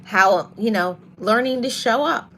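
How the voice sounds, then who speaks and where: Soft-voiced woman giving motivational talk. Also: a little high, unhurried, a little hiss or hum. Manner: earnest, emphatic repetition of key phrases; greets live viewers mid-talk. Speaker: motivational podcast host. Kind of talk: live-streamed motivational talk.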